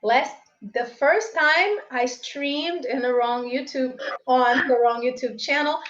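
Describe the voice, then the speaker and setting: A woman's voice: sits high, slow pace, a little hiss or hum. A woman from Brazil who is not a native English speaker, an English teacher hosting a live-streamed exam lesson and chatting directly with viewers. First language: Portuguese. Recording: slightly dull live-stream audio.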